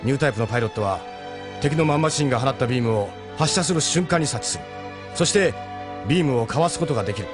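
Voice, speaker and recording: A man playing a gruff, steadfast ship captain and mentor in a Japanese audio drama, speaking bluntly and urgently. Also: medium pitch, expressive, rapid-fire, over music, close to an everyday voice. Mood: earnest, encouraging.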